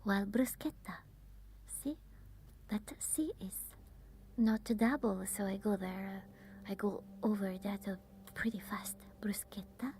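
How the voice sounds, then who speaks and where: A woman with an Italian accent, softly whispering while chatting with viewers on an ASMR live stream. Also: medium pitch. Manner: soft whispering.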